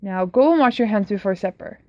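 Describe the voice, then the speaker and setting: A woman's voice: mid-range voice, expressive, fairly quick, dry recording, natural voice. A woman reading the part of a genial but firm uncle, patient and a little exasperated, on a slightly dull, dry recording.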